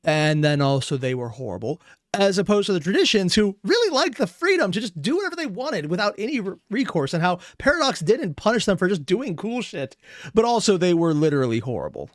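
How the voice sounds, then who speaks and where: Hoarse, profane man rambling in reaction. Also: high-pitched, brisk, no echo, studio quality. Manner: rambling, profane, animated asides. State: losing his voice. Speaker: reaction streamer. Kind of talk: casual reaction commentary.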